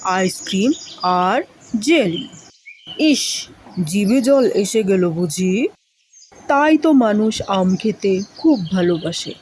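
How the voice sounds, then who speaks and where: A woman's voice: medium pitch, darkish tone, slight background noise. A woman voicing a proud, friendly young mango that explains itself to children in a cartoon monologue. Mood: proud, explaining. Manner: instructive, addressing young listeners.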